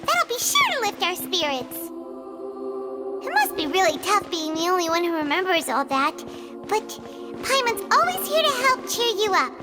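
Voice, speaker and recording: A very high, childlike squeak belongs to this perky little fairy companion. The delivery bounces around in pitch, loyal and cheerful in a video game style. Loud music plays underneath. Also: female voice, very animated, moderate pace. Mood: cheering up. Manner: speaks of herself in the third person, perky.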